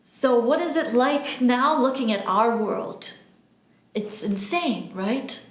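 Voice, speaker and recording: A woman from Albania gives a spiritual monologue to her followers, speaking emphatically and deliberately, with pauses and repeated words. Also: on the high side, lively intonation. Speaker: spiritual channeler and video host. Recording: roomy, echoing room sound.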